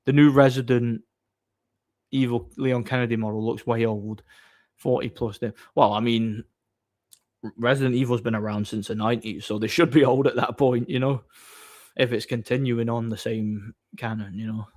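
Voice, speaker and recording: A man's voice: mid-range voice, fairly even intonation, normal speed, a little mellow. Boastful man on a livestream. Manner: boastful, mocking, reads viewer messages aloud. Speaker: live streamer reading chat. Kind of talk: casual livestream chat.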